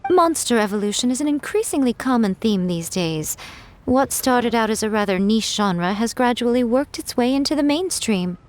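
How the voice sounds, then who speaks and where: A woman playing a poised, playful fox shrine maiden in a video game, smooth, composed and teasing in manner. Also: on the high side, lively intonation, moderate pace, slight background noise, lightly acted. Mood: musing, playful.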